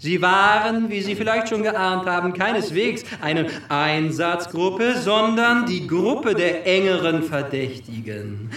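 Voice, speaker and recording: Pompous stage detective, high-pitched, ceremonious, wordy, accusing; heard from afar in an echoey hall. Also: male voice, unhurried, hardly any pauses, fairly bright tone. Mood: accusing, grandiose. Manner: pompous, long-winded, ceremonious. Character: pompous male detective unveiling a murderer to the suspects. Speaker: actor playing a detective. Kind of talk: theatrical comic monologue before an audience. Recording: echoey hall, distant microphone.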